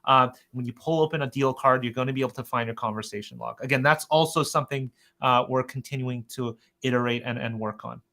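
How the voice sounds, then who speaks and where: Male webinar host, mid pitch, very bright tone, earnest and conversational product presenter. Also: quick pace, gravelly, few pauses. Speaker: host of a software update webinar.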